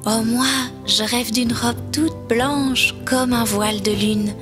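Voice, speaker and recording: A woman voicing a small, wistful, easily upset little fir tree in a children's storybook, plaintive and expressive. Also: fairly high pitch, slow pace, over music.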